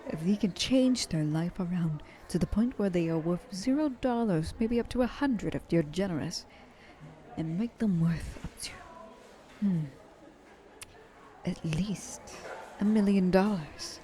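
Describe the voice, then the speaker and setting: A woman acting as an ancient, wealthy female vampire in an ASMR roleplay. Her voice is low and soft-edged, very mellow, as she coaxes the listener like a flirtatious, patronizing mentor and often slips toward a whisper. Noticeable noise sits behind her. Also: animated, a little slow. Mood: persuasive. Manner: coaxing, intimate, dropping often into breathy whispers.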